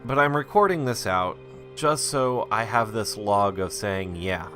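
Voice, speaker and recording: A man's voice: lowish voice, expressive, a little slow, fairly bright tone. A man speaking in a casual, self-deprecating ramble, like an audio diary for his channel. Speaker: YouTube creator recording an audio diary. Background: music.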